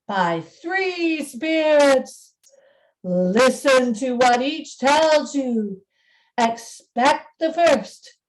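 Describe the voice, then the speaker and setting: A woman playing the mournful, wailing ghost of a dead businessman in a radio play, speaking slowly and solemnly. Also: a little high, low-quality recording. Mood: solemn warning. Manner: slow, solemn, drawn-out delivery. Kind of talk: amateur radio play acting.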